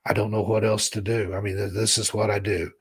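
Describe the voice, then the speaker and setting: A hoarse man hosts a laid-back livestream, rambling easily and reading viewer comments aloud. His voice is deep, gravelly and very husky, worn down as he loses it. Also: level tone, a little fast. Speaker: livestream host. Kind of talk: casual chat with viewers.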